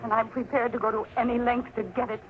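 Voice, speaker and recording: A woman's voice: fairly high pitch, little pitch movement, fast, lightly acted. An actress playing a determined young woman in an old-time radio horror drama, with an intense, earnest, dramatic delivery. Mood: demanding. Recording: tinny, very noisy old radio recording.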